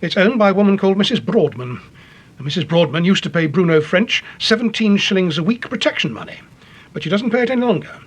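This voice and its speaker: An actor playing a confiding man who explains crime in a British radio thriller with deliberate storytelling. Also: high-pitched, animated, breathy edge, muffled recording. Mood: ominous, confiding. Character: confiding man explaining a criminal protection racket.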